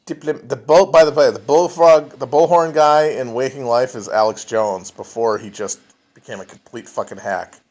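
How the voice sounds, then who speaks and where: A man talks casually to an online audience, jumping between topics. He swears freely, restarts his sentences and lets lines trail off. His voice sits at an ordinary middle pitch. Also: fairly even intonation, moderate pace, a little mellow. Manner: rambling, profane, lots of restarts and trailing off. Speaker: podcast host answering chat. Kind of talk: casual livestream chat. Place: home, alone at a phone or computer.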